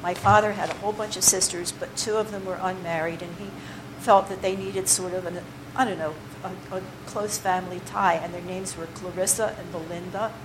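Chatty, rambling woman workshop leader with a lowish voice, caught on a noisy recording. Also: steady tone, a little fast, hardly any pauses. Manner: chatty, digressive, with many fillers and restarts. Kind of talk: informal workshop talk to a group.

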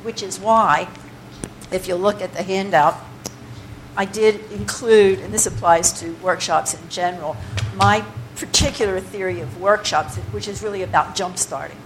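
A woman's voice: medium pitch, moderate pace. A woman leading a poetry workshop, talking informally and digressively to the group, with many fillers and restarts. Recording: noisy room recording.

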